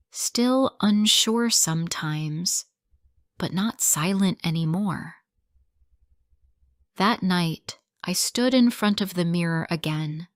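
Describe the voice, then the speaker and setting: A woman reading a simple first-person story for English learners, in slow, clearly spoken sentences. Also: fairly low pitch, no room sound, studio quality.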